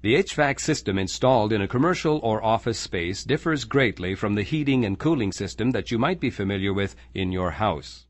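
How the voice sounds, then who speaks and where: A man with a fairly low voice reads scripted training narration in a measured, explanatory manner. The close studio mic leaves his voice dry, with no room sound. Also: lively intonation, no room sound. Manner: measured, clear explanatory delivery.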